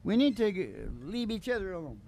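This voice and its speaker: Male host, high-pitched, folksy and irreverent, for rambling comic monologue. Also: very animated, fairly quick, a little mellow. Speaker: radio show host and singing DJ. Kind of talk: folksy comedic storytelling monologue over music.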